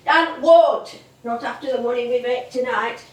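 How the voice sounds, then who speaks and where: An actress playing a feisty, nostalgic veteran music hall comedienne in a stage play, with a theatrical, performative storytelling manner. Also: female voice, fairly high pitch, normal speed, far from the mic, close to an everyday voice. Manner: animated, performative. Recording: roomy live theatre recording.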